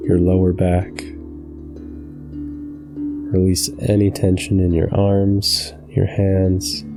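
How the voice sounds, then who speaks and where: Low, even-toned man guiding meditation. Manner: calm, measured, deliberate phrasing with pauses. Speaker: meditation guide and channel host. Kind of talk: scripted guided meditation narration. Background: meditation music with singing bowls.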